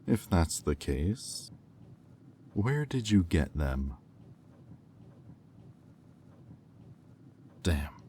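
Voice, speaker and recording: A man playing a haughty, sardonic demon bidder in an audio roleplay, speaking with condescension and deliberate pauses. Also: low voice, sing-song, warm tone, a little hiss or hum, heavily acted. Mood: skeptical, menacing.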